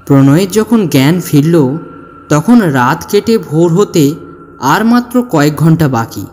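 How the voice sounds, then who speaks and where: A man narrating a scripted horror story in a measured, solemn, dramatic way. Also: a little high, expressive, a little mellow. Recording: long reverb, music behind.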